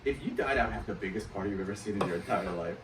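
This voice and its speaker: A man co-hosting a comedy podcast, trading crude jokes with loud bursts of laughter. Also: fairly low pitch, very fast, reverberant, distant mic, a crowd in the background. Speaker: podcast co-host.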